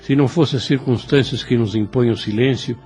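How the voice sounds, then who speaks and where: A man's voice: medium pitch, quick pace, darkish tone, soft background music, close to an everyday voice. Man playing a solemn, fatherly old Brazilian priest. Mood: fatherly gravity. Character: kindly, grave, ailing elderly priest. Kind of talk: Brazilian radio drama acting.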